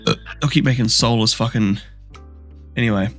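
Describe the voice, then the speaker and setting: A man's voice: medium pitch, moderate pace, a bit bright, faint music behind. A man co-hosting a movie podcast, ranting bluntly and swearing freely as he reviews a film. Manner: blunt, swears a lot, rants with rising energy. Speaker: podcast co-host. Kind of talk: casual movie review chat.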